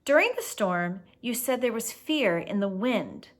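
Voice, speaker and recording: Woman doing a gentle storybook dad, worried and sheepish, kinda hesitant and earnest. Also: mid-range voice, animated, unhurried, close to an everyday voice. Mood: worried, pleading.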